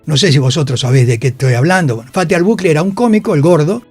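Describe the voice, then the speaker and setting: A man's voice: a little high, racing, a little mellow, husky, soft background music. An Argentine man telling stories as a radio interview guest, emphatic, repeating phrases for stress and swearing freely. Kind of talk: animated storytelling in a radio interview. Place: radio studio.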